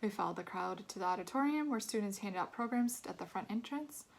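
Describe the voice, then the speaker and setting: A woman reads a children's novel aloud in a medium-pitched voice. She narrates in the first person as a child would tell it, with an expressive storyteller's delivery. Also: brisk, creaky. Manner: expressive, acts out dialogue.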